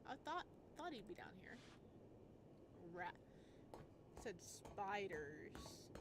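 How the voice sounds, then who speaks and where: Animated woman doing gaming commentary. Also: mid-range voice, background noise. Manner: thinking aloud, reading game text. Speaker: gaming streamer. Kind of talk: casual let's play commentary. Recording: clear game music underneath. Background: video game music.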